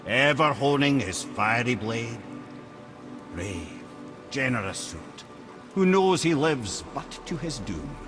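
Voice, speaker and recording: A worldly old storyteller from a fantasy game. His delivery is theatrical and wry, swinging widely in pitch as he spins myths, with music behind him. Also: a bit bright. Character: witty, worldly old male storyteller sage.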